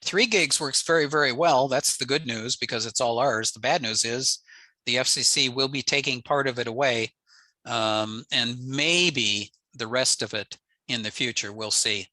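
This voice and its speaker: A man's voice: medium pitch, moderate pace, bright, forceful tone, dry recording, poor audio. An older American man giving an informal technical slide presentation in a matter-of-fact, plain-spoken way. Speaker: presenter, amateur radio enthusiast. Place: indoors, on a video call.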